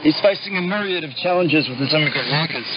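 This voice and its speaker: A man's voice: on the high side, expressive, quick pace. A man narrating a scripted radio news report. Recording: muffled, noisy shortwave radio.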